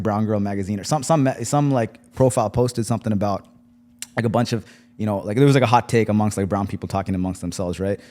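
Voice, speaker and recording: Low-voiced, fast-talking male guest. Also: warm tone. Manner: reflective, loose and meandering. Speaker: podcast guest. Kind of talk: casual podcast chat.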